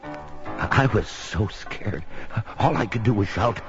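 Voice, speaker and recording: An actor playing a sinister old storyteller telling a spooky Halloween tale in a theatrical, dramatic way. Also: male voice, lowish voice, lively intonation, creaky, exaggerated voice. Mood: frightened, suspenseful. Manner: slow, dramatic, whispers and outbursts. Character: sinister old storyteller welcoming listeners into his home. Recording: dull lo-fi recording. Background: music.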